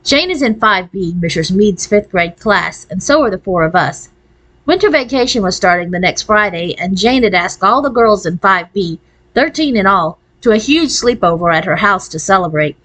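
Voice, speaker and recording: A woman's voice: medium pitch, expressive, moderate pace, fairly bright tone, low-quality recording. A woman reading a children's novel aloud as a first-person narration. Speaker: storybook reader.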